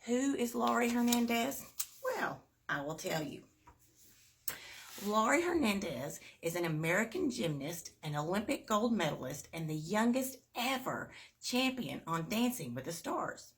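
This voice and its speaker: A woman reading a children's bedtime story aloud, with expressive emphasis and little asides to young listeners. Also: mid-range voice, unhurried. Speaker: storybook reader at a performing arts school.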